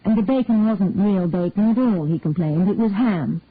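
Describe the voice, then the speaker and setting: A woman reads a short story aloud, measured and unhurried, with a slightly husky voice. The sound is tinny and thin like a phone line, and completely dry, with no room around it. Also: medium pitch, lively intonation, no echo. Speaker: reader. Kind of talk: scripted narration of a detective story.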